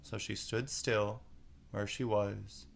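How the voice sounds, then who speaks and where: A man's voice: on the low side, little pitch movement, takes it slowly, vocal fry, slight background noise. A man narrating a children's storybook as a read-aloud.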